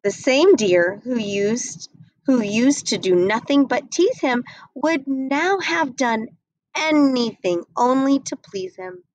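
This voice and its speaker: A young adult woman reading a rhyming picture book aloud at a desk webcam, slow and expressive. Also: sits high, rough recording. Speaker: storybook reader. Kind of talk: read-aloud storytelling. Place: small indoor room.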